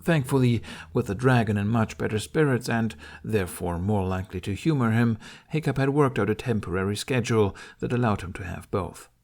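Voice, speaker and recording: A man's voice: on the low side, a little fast, few pauses, darkish tone. A man reading scripted audiobook narration of a story.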